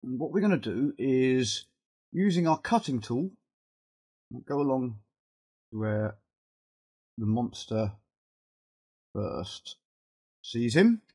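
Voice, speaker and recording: A middle-aged man with a cold and a fading, husky voice, talking haltingly through an informal step-by-step software tutorial and thinking aloud as he works. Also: mid-range voice, lively intonation. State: has a cold, losing his voice. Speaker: video editing tutorial presenter.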